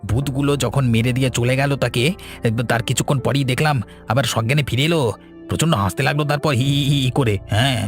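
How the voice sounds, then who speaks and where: A man's voice: mid-range voice, fast talker, faint music behind, natural voice. A man playing an irritable, bossy young village son in a cartoon, scolding and exasperated. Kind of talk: Bengali cartoon voice acting.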